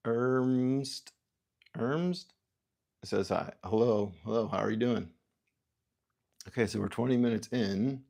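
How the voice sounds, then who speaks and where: A man from Canada hosting a livestream, musing aloud in halting, self-interrupting sentences and reading out viewer comments. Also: medium pitch, creaky, a little mellow. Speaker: livestream host. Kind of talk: rambling livestream chat.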